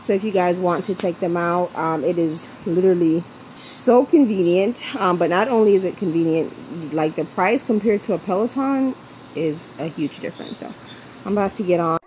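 A woman's voice: fairly low pitch, moderate pace, a little mellow, slight background noise, poor audio. Young adult woman, chatty vlogger, talking straight to camera at arm's length. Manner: chatty, upbeat, talks straight to viewers. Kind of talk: casual vlog chat to camera. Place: car, bedroom and patio in a hot place. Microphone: camera held at arm's length.

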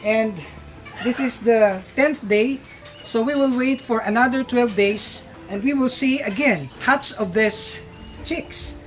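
A man's voice: very high voice, takes it slowly, faint music behind, rough recording. A middle-aged man, a farm vlogger, giving an upbeat casual tour of his outdoor farmyard and coop while explaining to viewers.

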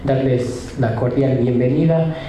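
A man's voice: medium pitch, steady tone, unhurried, mellow. A man with an Ecuadorian accent giving a formal welcome speech as a council member. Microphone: podium microphone. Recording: very echoey hall, faint noise.

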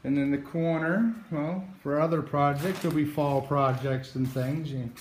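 A man from New Hampshire giving a casual, halting walkthrough into a handheld phone, with many pauses and restarts. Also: on the high side, fairly even intonation, a little mellow, echoey room, slight background noise. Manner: slow, halting delivery with many uh's and restarts. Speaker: outdoors vlogger. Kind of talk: casual show-and-tell walkthrough. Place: garage, then outdoors by a driveway. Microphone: handheld phone camera, moving around.

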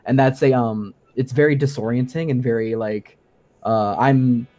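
A woman's voice: extremely low pitch, steady tone, normal speed, mellow. A woman guest co-hosting a gaming show, explaining things in a casual chat. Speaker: guest co-host, sound design enthusiast. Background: music.

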